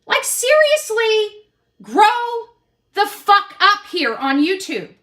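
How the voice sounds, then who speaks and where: A woman's voice: very high-pitched, sing-song, slow, fairly bright tone. A woman in her fifties talking combatively and dismissively in a livestream rant, swearing with heavy emphasis. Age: 50s.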